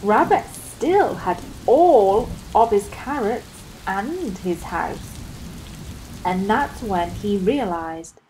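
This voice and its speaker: A woman reading a picture book aloud to children as an expressive storyteller, leaning deliberately on key words. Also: medium pitch, a little hiss or hum, poor audio.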